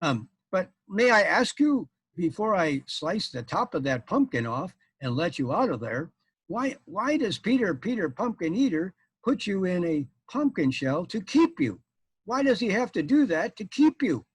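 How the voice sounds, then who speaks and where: Man playing a courtly, high-voiced storybook knight. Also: very animated, moderate pace, slightly rough, dry recording, full character voice. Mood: reassuring, questioning. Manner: courtly, exclaiming. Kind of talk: live storytelling for an audience.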